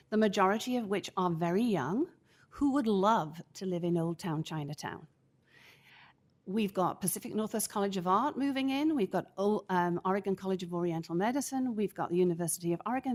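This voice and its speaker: A middle-aged woman stands at a podium microphone in a council chamber and gives formal public testimony. Her big, projecting voice comes out measured and deliberate, with a firm, emphatic push on her key points. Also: medium pitch, a little mellow. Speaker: public commenter at city council.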